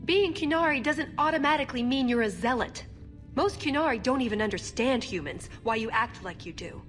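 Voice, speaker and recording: A woman's voice: sits high, animated, fairly quick, fairly bright tone, faint music behind. A woman playing a wry, earnest young elven agent in a video game. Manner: flippant and wry, turning earnest.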